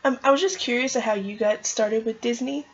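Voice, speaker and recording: Young woman doing a casual job interview, kinda raspy, chatty and eager, dull lo-fi sound. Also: fairly high pitch, fairly quick. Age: young adult. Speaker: job applicant. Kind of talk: casual phone interview answers.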